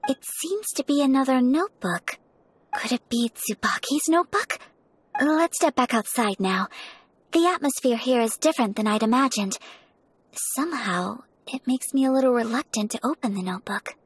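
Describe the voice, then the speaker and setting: A woman's voice: sits high, animated, husky, dry recording. A graceful young noblewoman. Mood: earnest. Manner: soft-spoken, measured, formal. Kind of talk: video game voice acting. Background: faint game music.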